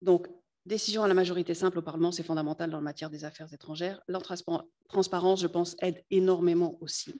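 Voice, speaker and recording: A native French speaker giving a lecture to students, often pausing to search for words. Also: mid-range voice, steady tone, a little mellow. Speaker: guest speaker on European affairs.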